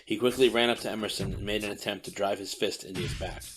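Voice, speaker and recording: Low-voiced man narrating over music. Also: fast. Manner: steady descriptive reading. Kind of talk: scripted audiobook narration.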